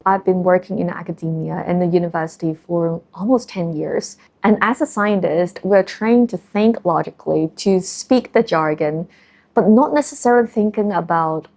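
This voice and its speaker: A woman in her thirties from Hong Kong, speaking English with a Hong Kong accent, who talks about her work as a scientist and founder in a measured, thoughtful documentary interview with frequent ums and uhs. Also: lowish voice, gravelly, a little mellow. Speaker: marine scientist and company founder.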